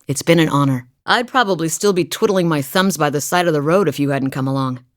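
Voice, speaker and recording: A woman's voice: low voice, a little fast, no room sound, lightly acted. A woman voicing a seasoned, practical female hunter from a far southern island in a video game, speaking in a wry, matter-of-fact way. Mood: earnest. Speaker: game companion character. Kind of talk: studio video game voice acting. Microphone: close studio mic. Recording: dry close studio recording.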